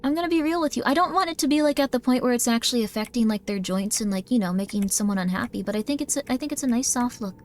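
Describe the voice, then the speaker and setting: A woman's voice: fairly high pitch, racing, few pauses, a little hiss or hum. A woman live-streaming a game, chatting in a bubbly way and often thanking her viewers. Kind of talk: casual live-stream chat while gaming.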